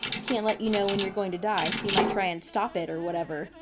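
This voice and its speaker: A woman's voice: medium pitch, steady tone, fairly quick, close to an everyday voice. A woman playing a frazzled, impulsive time traveller in an audio drama, with a wry, rambling, informal delivery. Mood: wry, weary. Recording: music playing behind.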